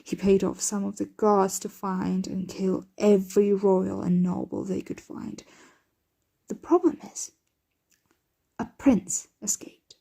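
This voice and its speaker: A woman playing a protective, doting yet anxious vampire mistress in an ASMR roleplay, breathy and often whispering, with formal, measured diction. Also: medium pitch, steady tone, dark tone. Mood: frustrated, weary.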